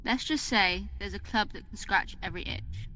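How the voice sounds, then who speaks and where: A woman acts a self-assured, teasing young clubgoer in an audio drama. Her voice is mid-pitched and knowing, with faint ambient noise behind it. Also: wildly swooping pitch, moderate pace, sharp tone, low-quality recording. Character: bold, teasing young woman who frequents clubs. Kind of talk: dystopian audio drama acting.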